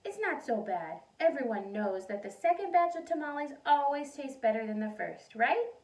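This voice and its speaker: A woman's voice: high-pitched, big pitch swings, moderate pace, a little mellow, voice pushed up. A woman voicing a cheerful, comforting aunt in a storybook, with a reassuring manner. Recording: roomy echo, distant mic.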